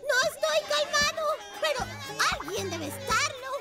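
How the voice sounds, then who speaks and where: An actor voicing an excitable, cocky young boy motorcycle in a children's cartoon, exclamatory and playful. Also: very high voice, measured pace, heavily acted. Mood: flustered, panicky. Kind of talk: animated children's cartoon dubbing. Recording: loud children's music behind the voice.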